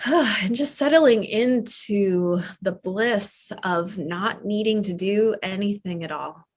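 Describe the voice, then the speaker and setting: Slow, soothing woman guiding relaxation. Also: mid-range voice. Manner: slow, soft and soothing, with long pauses. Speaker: yoga nidra teacher. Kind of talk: guided relaxation. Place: live online or studio class.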